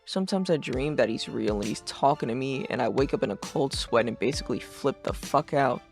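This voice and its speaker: Man acting sarcastic teenage boy, casual sweary slang, medium pitch with creaky edges, secretly uneasy, webcomic dub. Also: a little fast, close to an everyday voice. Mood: rattled. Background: music.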